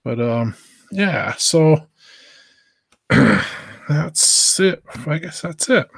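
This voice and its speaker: A man's voice: fairly high pitch, expressive, very slow, creaky, a bit bright, breathy edge, dry recording, studio quality. A man from the United States chatting casually as a live stream host, hesitant and meandering. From United States, Southern Virginia.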